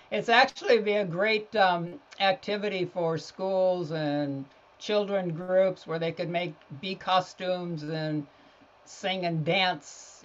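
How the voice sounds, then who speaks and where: Male interview guest, casual storyteller style, somewhat dull recording. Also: sits high. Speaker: podcast guest, children's author. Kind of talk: casual anecdotal interview talk.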